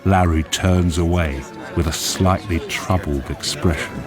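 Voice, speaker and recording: An actor as a measured, deliberate narrator reading screen directions in a radio drama. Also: male voice, low voice, fairly even intonation. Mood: measured, suspenseful. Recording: music behind the narration.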